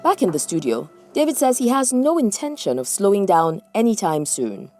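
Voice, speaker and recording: A woman's voice: medium pitch, very animated, moderate pace, mellow, dry recording. A woman reading scripted narration for a news feature. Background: music.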